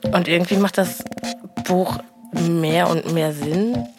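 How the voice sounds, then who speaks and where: A woman's voice: lowish voice, slow, over music. A woman thinking aloud about her own experience in an unscripted, thoughtful audio diary style. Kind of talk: spoken personal reflection, audio diary.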